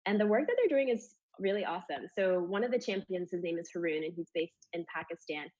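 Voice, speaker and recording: A woman's voice: lowish voice, animated, quick pace, a little mellow, dry recording. A woman from Rwanda giving enthusiastic, informal interview answers about her charity work, on lo-fi audio. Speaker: charity communications manager, interview guest.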